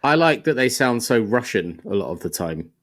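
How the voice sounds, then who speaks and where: A man's voice: medium pitch, very animated, normal speed, a bit bright. A British man co-hosting a casual podcast, laid-back and jokey in his delivery. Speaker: podcast co-host.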